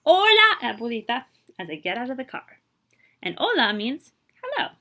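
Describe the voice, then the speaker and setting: Bright, animated woman reading to children. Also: a little high, moderate pace. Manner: expressive, explains and translates Spanish words for listeners. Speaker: librarian reading aloud. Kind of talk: children's picture book read-aloud with explanations.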